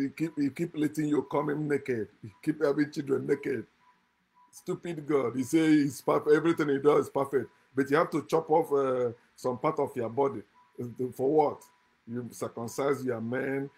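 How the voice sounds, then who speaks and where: Man, middle-aged, husky voice, slightly high pitch, fiery scornful lecture, webcam in a room. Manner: fiery, scornful, lecturing, chuckles between points. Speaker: channel host. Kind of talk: impassioned monologue to camera. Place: indoor room, seated at a desk.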